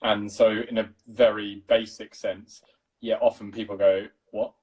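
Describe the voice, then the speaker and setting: A man from East London with a posh English accent, chatting casually as a guest cinematographer, mumbling, talking fast and swearing freely. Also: lowish voice, little pitch movement, darkish tone, slightly rough, poor audio. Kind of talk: casual chat.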